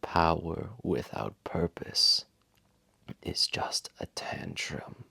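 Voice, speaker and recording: Huge ancient dragon, deep creaky voice, extremely slow, solemn, tender, wise storyteller. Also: male voice, lively intonation, bright, forceful tone, no echo, full character voice. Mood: humbled, resolved. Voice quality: gravelly, breathy, half-whispered. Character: ancient, massive, wise dragon, gentle and remorseful. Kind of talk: slow dramatic storytelling to a single listener.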